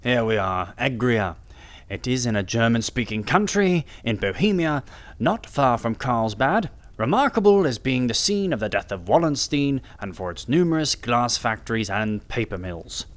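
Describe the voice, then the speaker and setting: Audiobook detective, male, acted out: brilliant and self-assured, mid-pitched with lively swings, precise and didactic. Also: normal speed, close to an everyday voice. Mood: keen, triumphant. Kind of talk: audiobook character acting.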